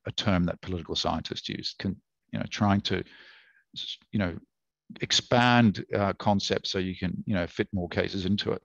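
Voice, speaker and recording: A very low-voiced Australian man speaks in a thoughtful academic conversation, pausing on fillers and restarting phrases as he works through ideas. The recording is dry and slightly dull, like a lo-fi online call. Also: vocal fry, no echo. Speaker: author and historian guest.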